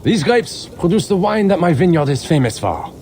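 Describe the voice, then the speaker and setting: A man's voice: high-pitched, big pitch swings, moderate pace, darkish tone. An actor playing a proud French nobleman with a French accent, expansive and rhetorical, in a game cutscene over outdoor ambient noise. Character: proud, visionary French nobleman and vineyard owner. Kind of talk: dramatic game cutscene acting.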